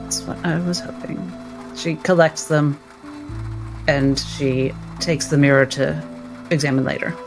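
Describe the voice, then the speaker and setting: A man takes part in a tabletop roleplaying game as a player, moving between description and in-character speech. His voice sits fairly high, with music clearly behind it. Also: fairly even intonation, a little slow.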